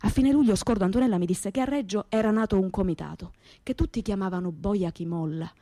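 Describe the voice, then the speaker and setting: An actress plays a young southern Italian woman of simple background, with a Calabrian accent and a medium-pitched voice. She tells her story as a radio theatre monologue, plain-spoken and naive, in colloquial, dialect-tinged phrases. The sound is dry, with no room around her. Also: fast, dark tone, no echo, natural voice. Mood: earnest, naive. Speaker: stage actress, narrator.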